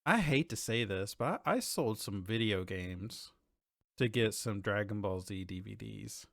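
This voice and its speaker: A man's voice: fairly low pitch, animated, unhurried, creaky, a bit bright, no echo, clean studio sound. A man in his thirties chatting casually as a podcast host, informal and conversational, often thinking aloud. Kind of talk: casual chat about TV and games.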